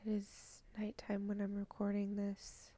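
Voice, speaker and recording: A woman leading a channeled guided meditation, speaking softly and half-whispering, with sighs and long pauses. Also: medium pitch, completely flat delivery. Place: indoors at night.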